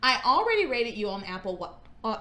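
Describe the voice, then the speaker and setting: Bright, lively woman podcast host. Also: brisk. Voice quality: high singing range. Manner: reads emails aloud, breaks into giggles. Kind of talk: casual chat, reading listener mail.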